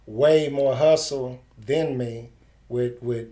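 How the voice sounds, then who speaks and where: A middle-aged man with a dark, relaxed voice at an ordinary pitch. He talks like an interviewer chatting with an old acquaintance, informal and full of slang and swearing. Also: slow pace. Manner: informal, slang-heavy, profane. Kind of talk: casual street interview chat.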